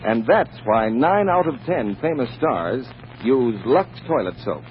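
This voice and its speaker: A man's voice: mid-range voice, very animated, unhurried. Brief: male announcer, vintage radio ad read, swooping polished delivery, dull noisy recording. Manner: polished, persuasive. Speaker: radio announcer reading sponsor copy. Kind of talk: 1940s radio advertising announcement.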